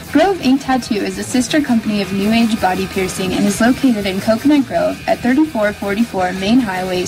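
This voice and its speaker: A woman's voice: medium pitch, rough recording. A woman reading a scripted sponsor message as a radio ad announcer. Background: music.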